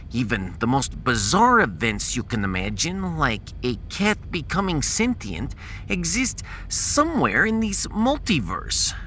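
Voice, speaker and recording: A man's voice: sits high, pushed and bright, slight background noise, pitched above the performer's own voice, heavily acted. A man playing a proud, pedantic scientist in a science fiction audio drama, long-winded and dropping his articles. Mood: indignant, lecturing.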